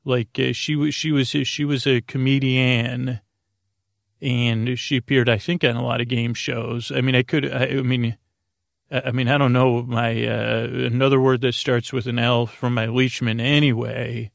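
A man with a creaky, gravelly voice hosts a bedtime podcast, recorded close and dry. He meanders through his monologue in halting phrases, stumbling over words and breaking off to correct himself. The delivery is droning and low-stakes. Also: level tone, no room sound. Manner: halting, meandering, self-interrupting, often stammers over words. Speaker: podcast host.

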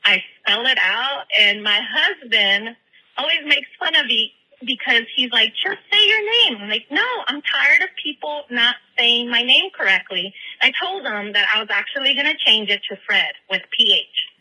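A bright, rather high-voiced woman rattles off an effusive voicemail. She's from California and middle-aged, giggling and gushing as she talks. It comes through with the slightly boxed-in sound of a phone message. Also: expressive, normal speed, slightly rough, poor audio. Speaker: caller.

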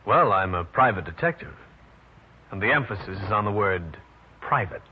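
An actor playing a tough, wry American private detective in a 1940s radio drama, clipped and dry. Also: male voice, fairly low pitch, expressive, normal speed, natural voice. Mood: cool, guarded. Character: tough, cool, wry New York private detective man. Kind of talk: 1940s radio detective drama, first-person narration and dialogue. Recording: muffled, noisy old radio recording.

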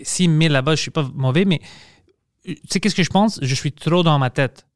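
A man hosts a casual podcast chat in French that he speaks as a second language, his strong accent plain to hear. His voice sits fairly high for a man, and the sound is dry and close to the mic. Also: brisk, dry recording. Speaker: podcast host and comedian.

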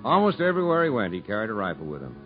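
A man's voice: lowish voice, wildly swooping pitch, racing. A reflective, kindly narrator from 1950s radio drama. His mid-range voice rises and falls expressively as he tells a family tale, with music behind and a muffled vintage sound. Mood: storytelling. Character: kindly, reflective man telling a boy family history. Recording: dull 1950s radio broadcast recording.

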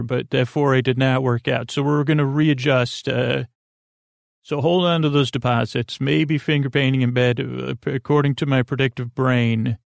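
A man hosting a sleep podcast, rambling softly with many fillers and restarts in a lulling, creaky voice. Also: medium pitch, no echo, clean studio sound. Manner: meandering, halting, full of fillers and restarts; soft, lulling, unhurried.

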